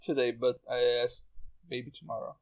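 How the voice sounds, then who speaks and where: A man hosting a casual group podcast chat, speaking haltingly and repeating his words. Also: mid-range voice, little pitch movement, phone-quality sound. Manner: halting, repeats words, often breaks into laughter. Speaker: podcast host. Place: remote group voice call.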